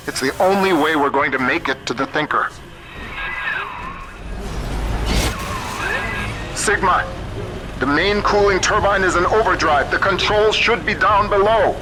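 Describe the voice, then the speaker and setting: A man playing an earnest, world-weary computer scientist in a dystopian video game, speaking in a measured, folksy, plain way. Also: a little high, pushed and bright, a little hiss or hum, rough recording. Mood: urgent, guiding. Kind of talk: video game voice acting, radio messages and audio diaries.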